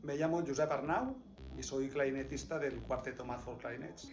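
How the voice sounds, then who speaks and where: A man's voice: medium pitch, moderate pace, soft background music. A man from Valencia, a clarinetist, answering interview questions thoughtfully, often restarting his sentences. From Valencia, Spain. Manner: reflective, many restarts and repeated words. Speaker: clarinetist in a quartet. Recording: echoey room.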